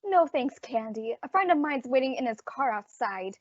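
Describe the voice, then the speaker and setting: Young woman playing high-pitched gushing society-polite lady. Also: expressive, moderate pace, no echo. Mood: gracious, grateful. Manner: effusive, ladylike. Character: polite, gushing, jumpy female store advertising executive. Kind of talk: old-time radio drama acting. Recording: dull lo-fi audio, very dry.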